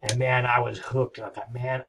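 A man's voice: medium pitch, little pitch movement, normal speed, fairly bright tone. A middle-aged man giving a recorded history lecture from his home office, talking in a rambling, enthusiastic way with many fillers. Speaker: history professor.

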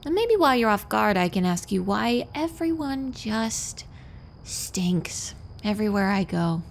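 A woman's voice: mid-range voice, very animated, unhurried, gravelly, slight background noise. An actress playing a blunt, world-weary woman in an audio drama, dry and frank.

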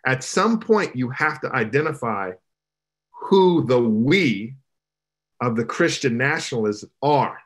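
A middle-aged man, a pastor, speaking emphatically in an animated panel discussion over a video call from his home. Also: mid-range voice. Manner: emphatic preaching cadence, gestures broadly. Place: home room, indoors. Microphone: video call, webcam from below.

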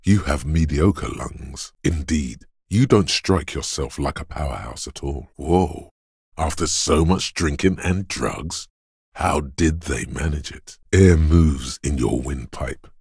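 Very deep, husky inner body voice. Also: male voice, takes it slowly, a little mellow, no room sound, clean studio sound, exaggerated voice. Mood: grave, measured. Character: Endurance, brooding inner voice of a weary detective's body. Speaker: voice actor. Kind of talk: scripted game narration in second person.

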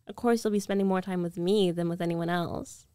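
A woman's voice: fairly low pitch, fairly even intonation, fairly quick, dark tone, smooth, no room sound, clean studio sound, natural voice. A woman playing a rich, possessive ex-girlfriend in an intimate ASMR roleplay, coaxing and self-assured with a condescending edge. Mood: possessive, insistent. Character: rich, domineering, possessive young ex-girlfriend coaxing her lover back. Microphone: close microphone, dry.

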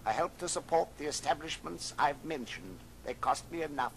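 An actor playing a scornful, cold-hearted old miser, curt and grumbling, in old radio drama style. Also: male voice, on the high side, animated, moderate pace, creaky, a bit bright. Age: older. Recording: noisy old record transfer.

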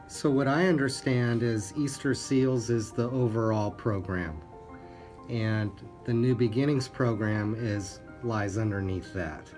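A man's voice: fairly low pitch, measured pace, darkish tone, soft background music. A man co-hosting a radio talk show in a conversational style, summing up and asking guests questions. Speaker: radio co-host. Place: small radio studio. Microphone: studio microphone.

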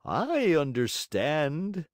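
A man's voice: on the high side, wildly swooping pitch, extremely slow, no room sound, studio quality, pitched above the performer's own voice, heavily acted. A man doing growling, mocking assassins. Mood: menacing mocking. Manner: growling, threatening taunts. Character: two menacing male assassins, mocking and cruel.

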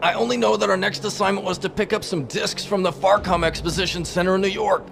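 A man's voice: high-pitched, steady tone, fast talker, gravelly, background noise. A man playing an evasive, self-important agency underling in a video game. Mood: nervous, pleading. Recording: faint music behind.

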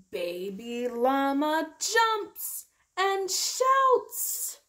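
Young female storytime teacher, higher-pitched voice, very slow and deliberate expressive read-aloud for small children. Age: young adult. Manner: slow, deliberate, drawn-out key words. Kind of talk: expressive picture-book read-aloud with simple explanations for children.

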